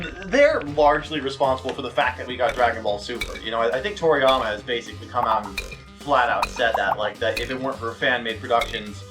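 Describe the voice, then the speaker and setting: A man's voice: mid-range voice, recorded from a distance, low-quality recording. A man giving rambling, profane, self-deprecating commentary while playing a video game. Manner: exclaims at the game mid-sentence. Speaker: video game let's player. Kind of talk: rambling casual gameplay commentary. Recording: music playing behind the voice. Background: music.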